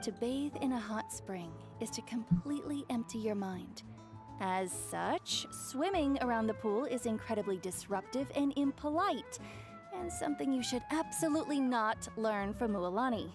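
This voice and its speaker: Fussy, instructive hot spring matron. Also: female voice, a little high, lively intonation, normal speed, music in the background. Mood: lecturing. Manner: instructive, scolding.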